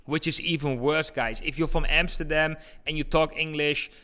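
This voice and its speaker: A man's voice: on the high side, fairly even intonation, moderate pace, bright, forceful tone. A man with an eastern Dutch accent, a native Dutch speaker, giving informal live chart commentary and talking directly to his viewers as a livestream host. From eastern Netherlands. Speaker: crypto trading livestream host.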